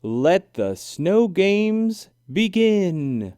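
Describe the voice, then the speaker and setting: Man voicing a high-pitched, encouraging female animal judge. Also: big pitch swings, very slow, mellow, smooth, no room sound, studio quality, heavily acted. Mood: bright, official. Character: female animal judge, upbeat official opening winter games.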